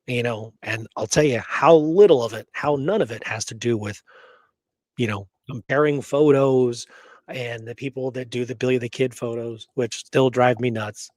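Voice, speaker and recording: A man's voice: mid-range voice, normal speed, darkish tone, no room sound. A man hosting a casual history discussion, opinionated and emphatic, repeating words for stress. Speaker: host of a history talk show.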